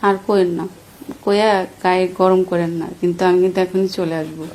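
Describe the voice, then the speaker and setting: Fast-talking coy Bangladeshi woman. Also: on the low side, steady tone, darkish tone. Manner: coy, teasing. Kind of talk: flirtatious casual phone chat. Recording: noisy phone call recording.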